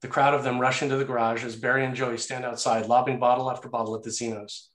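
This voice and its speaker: A man's voice: mid-range voice, level tone, fast, fairly bright tone. A man narrating action and scene headings from a screenplay in a scripted read. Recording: roomy, echoey sound.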